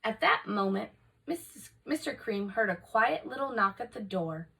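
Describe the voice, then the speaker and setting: A woman narrating a children's picture book aloud in an expressive, scripted read-aloud style, picked up from slightly off the microphone. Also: fairly high pitch, normal speed, gravelly, distant mic. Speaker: storybook reader for remote learning.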